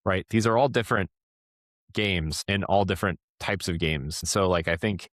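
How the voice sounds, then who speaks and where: A man with a low voice talks casually as a podcast host. His delivery rambles and he keeps checking in with the listener. The sound is close and dry, with no room echo. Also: moderate pace.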